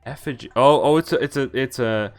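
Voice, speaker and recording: A man streaming a game, reading its text aloud with animated, jokey reactions and casual commentary, sometimes stumbling over words and correcting himself. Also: mid-range voice, brisk, smooth. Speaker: game streamer doing a visual novel playthrough. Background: game music.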